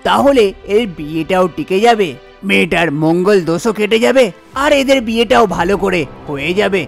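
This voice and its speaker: A man's voice: high-pitched, moderate pace, creaky, faint music behind. A man voicing a fussy priest and astrologer in a cartoon story, making grave pronouncements and explaining things with repeated exclamations. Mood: reassuring, explaining. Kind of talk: Bengali cartoon story dialogue.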